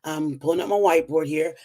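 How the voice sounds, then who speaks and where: A young woman with a strained, recovering voice, chatting casually as she teaches personal finance at a whiteboard, with lots of "um"s and upbeat encouragement. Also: deep voice. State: voice strained after losing it. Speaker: financial educator hosting a weekly livestream.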